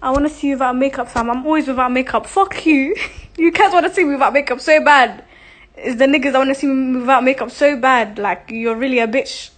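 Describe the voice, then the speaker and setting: A woman's voice: high voice, a little fast. A young woman in her twenties chatting casually on a phone livestream, reading viewer questions and answering bluntly with lots of swearing. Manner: blunt, profane, laughing, reads comments aloud. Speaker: social media personality answering viewer questions. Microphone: phone held close, selfie framing.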